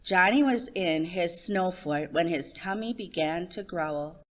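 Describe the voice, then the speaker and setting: Female storyteller for a picture book, slightly low pitch, scripted narration. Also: expressive, measured pace.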